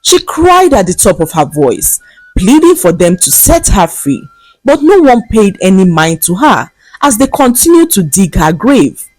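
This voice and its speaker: A woman narrating a scripted folktale as a storyteller, in a dramatic, expressive manner. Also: mid-range voice, unhurried, dry recording, poor audio. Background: faint background music.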